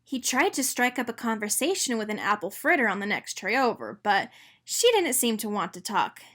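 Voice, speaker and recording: A woman reading a children's storybook aloud in an expressive narrating style. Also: fairly high pitch, normal speed. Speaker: storybook reader.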